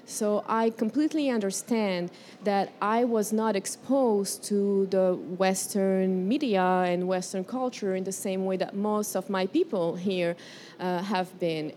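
A woman from Morocco teaching a spiritual herbalism class, leading a guided breathing exercise and speaking gently, unhurried, and often searching for words. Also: medium pitch, steady tone, slight background noise.

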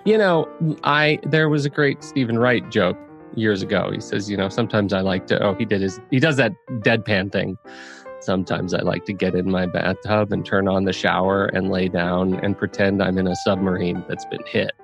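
A man hosting a podcast in a casual, chatty way, expressive and playfully dramatic in his emphasis. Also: low-pitched, normal speed, creaky, soft background music. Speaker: podcast host.

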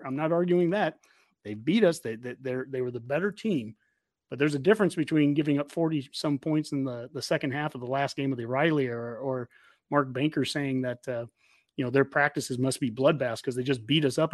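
A man's voice: on the high side, gravelly, darkish tone, dry recording, studio quality. An American man hosting a casual college football talk show, speaking emphatically and repeating points for stress. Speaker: podcast host.

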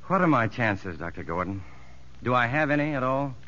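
An actor playing an earnest, educated prison psychologist, somewhat uneasy, in a 1950s radio drama. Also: male voice, mid-range voice, animated, moderate pace, pushed and bright. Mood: unsure, questioning. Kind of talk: 1950s radio drama acting with first-person narration. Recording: muffled 1950s radio recording.

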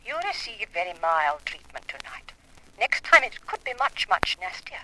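Icy elegant femme fatale, sharp bright voice, formal, old hissy radio drama. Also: female voice, on the low side, big pitch swings, normal speed. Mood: menacing. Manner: cool, formal, condescending. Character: elegant, coolly menacing woman of mystery. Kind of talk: 1950s radio drama acting. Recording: noisy vintage radio drama recording.

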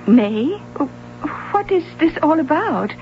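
An actress playing a hesitant, helpful and slightly puzzled library clerk in a radio drama, speaking thoughtfully. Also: female voice, high-pitched, big pitch swings, takes it slowly, full character voice. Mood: puzzled, unsure. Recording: muffled, noisy 1970s radio broadcast recording.

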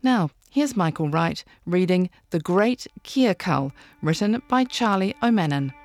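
A woman's voice: lowish voice, lively intonation, a little slow. A woman with a New Zealand accent reading a scripted podcast introduction as host.

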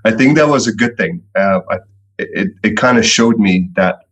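A middle-aged man from Belgium, a guest telling his story in a podcast interview, relaxed and repeating words often. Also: fairly low pitch, moderate pace. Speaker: entrepreneur guest.

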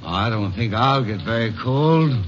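An actor playing a tough, sarcastic armed robber in a 1950s radio crime drama. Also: male voice, mid-range voice, expressive, a little slow, lightly acted. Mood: threatening, sarcastic. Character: sneering armed stick-up man, tough and sarcastic. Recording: muffled, noisy old radio broadcast.

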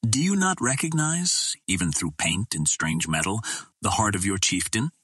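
A man's voice: on the low side, expressive, normal speed, a bit bright, breathy edge, no echo, studio quality. A man voicing a bold, gallant swordsman hero in an adventure audiobook, proud, courtly and earnest. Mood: earnest, tender.

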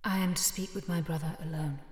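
Woman playing a low-voiced scheming noblewoman, echoing hall. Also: fairly even intonation, background noise, close to an everyday voice. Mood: cold, controlled. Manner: controlled, haughty, measured. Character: cold, calculating noblewoman, scheming younger-adult sister. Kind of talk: high fantasy audio drama acting. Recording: echoey hall with long reverb.

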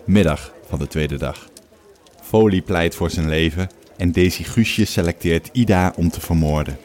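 A man's voice: low voice, normal speed, darkish tone. A man reading short scripted recaps as the narrator of a game story.

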